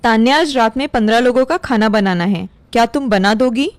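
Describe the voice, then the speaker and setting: A woman's voice: a little high, fairly quick, no echo, lightly acted. A woman voicing a scornful bossy employer. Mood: scolding. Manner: scolding and sarcastic.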